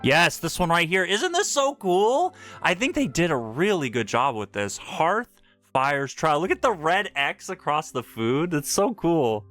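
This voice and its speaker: A man livestreaming casual game commentary, excitable and repeating phrases for emphasis as he talks to his chat. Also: fairly high pitch, unhurried, few pauses. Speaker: game streamer. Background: faint game music.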